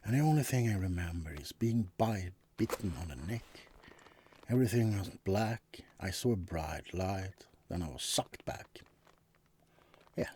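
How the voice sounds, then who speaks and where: Man playing a slow, deep-voiced laid-back vampire. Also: expressive, darkish tone, slight background noise. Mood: musing. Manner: hesitant, rambling, intimate, drifting into whispers. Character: laid-back centuries-old male vampire, wry, casually charming roommate. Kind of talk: ASMR roleplay monologue addressed to the listener.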